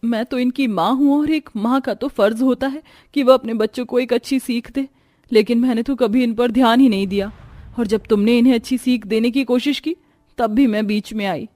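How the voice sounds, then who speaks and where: A woman's voice: fairly high pitch, normal speed, warm tone, close to an everyday voice. A woman voicing an emphatic, moralizing mother in a Hindi cartoon moral story. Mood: remorseful. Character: adult mother and housemaid, moralizing and scolding. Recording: music behind the voice.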